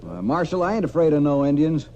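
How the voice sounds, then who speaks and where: An actor playing a stubborn, folksy posse leader with a rural Western American accent in an old radio drama. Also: male voice, fairly high pitch, very animated, fast, mellow. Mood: defiant. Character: stubborn, belligerent frontier man leading a rough posse. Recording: dull, noisy old radio recording.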